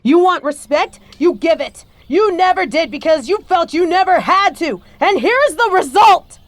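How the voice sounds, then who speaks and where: A woman's voice: very high-pitched, animated, normal speed, dry recording. A woman playing a bitter adult daughter confronting her stepfather in an audio roleplay, scathing, profane and confrontational. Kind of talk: scripted audio roleplay monologue.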